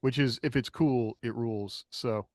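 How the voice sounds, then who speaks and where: A man talks as a podcast co-host in a casual, digressive way, often with a chuckle. His voice sits at a middle pitch, and the sound is dry and close. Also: normal speed, darkish tone, dry recording. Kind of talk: casual rambling panel discussion.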